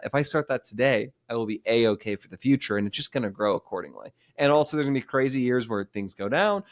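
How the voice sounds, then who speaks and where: Fast-talking man in his thirties. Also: fairly low pitch. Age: 30s. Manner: quick, rambling and animated, with many restarts. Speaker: podcast host. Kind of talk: casual interview chat.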